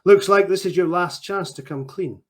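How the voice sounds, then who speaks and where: A man's voice: high voice, moderate pace. A man voicing a wounded man who questions a killer in a dry, blunt way.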